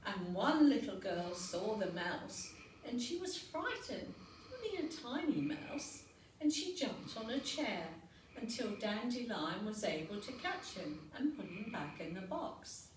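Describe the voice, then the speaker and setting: A woman reading a picture book aloud to young children as a storyteller, unhurried, expressive and gently dramatic. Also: mid-range voice. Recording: roomy, noisy recording, distant mic.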